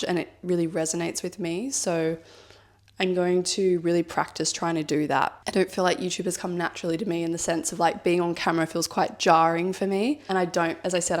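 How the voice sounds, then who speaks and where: A woman in her thirties speaks in a fairly low voice, a touch husky from a cold. She muses out loud to camera in a personal vlog, thoughtful and rambling, leaning on fillers like "like" and "I guess.". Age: 30s. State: has a cold, slightly husky. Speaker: vlogger. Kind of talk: reflective personal vlog talk.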